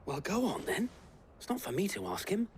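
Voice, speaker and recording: A man's voice: high-pitched, lively intonation, normal speed, vocal fry, darkish tone, slight background noise. A man playing a loyal, courteous knight who commands men in a game drama, speaking formally and dutifully. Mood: encouraging. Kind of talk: video game drama acting.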